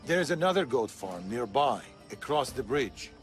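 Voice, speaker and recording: A man's voice: mid-range voice, sing-song, normal speed, faint music behind, close to an everyday voice. An actor playing a serious older male assassin mentor who speaks in clipped instructions in a video game. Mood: intent. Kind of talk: video game cutscene acting.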